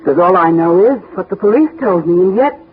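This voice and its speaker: A thin, tinny vintage radio recording carries a husky, lively widow. She is brisk, breezy and flirtatious, with blunt, unsentimental delivery that swings widely in pitch, in 1960s radio acting style. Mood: cool, guarded.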